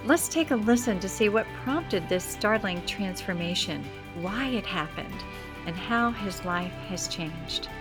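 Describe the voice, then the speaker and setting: A woman hosting a podcast, reading a measured introduction and then asking a guest questions. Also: mid-range voice, expressive, over music. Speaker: podcast host. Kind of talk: scripted intro then interview.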